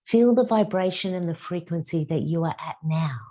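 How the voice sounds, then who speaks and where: A woman leading a guided meditation as a spiritual teacher, speaking in a soft, soothing way with drawn-out phrases and some hushed, whispered words. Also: fairly low pitch.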